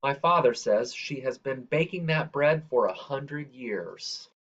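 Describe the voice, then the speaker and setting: A man reading a children's picture book aloud in a measured, deliberate way. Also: medium pitch, animated, a bit bright. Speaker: reader. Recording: dull, lo-fi sound.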